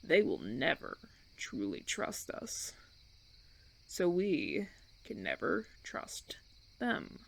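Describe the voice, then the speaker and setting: Casting: male actor as smooth-talking, menacing vampire for audio roleplay; theatrical, patronizing, wildly varied pitch, some gravel. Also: wildly swooping pitch, fairly bright tone, heavily acted. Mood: coaxing, veiled threat. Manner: theatrical, deliberate, silky persuasion with veiled threats. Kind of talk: audio roleplay, ASMR monologue.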